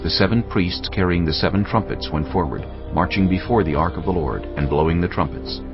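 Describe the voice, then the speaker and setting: A man's voice: sits low, a little fast. A man giving scripted narration for a dramatized audio Bible. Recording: dull audio, music underneath.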